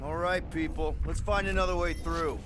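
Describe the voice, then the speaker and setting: An actor playing a scrappy, kind-hearted leader of space misfits in a video game, casual and heartfelt, with an American accent. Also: male voice, on the high side, steady tone, normal speed, noisy background, lightly acted. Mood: businesslike. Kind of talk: video game cutscene acting. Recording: roomy sound under loud music.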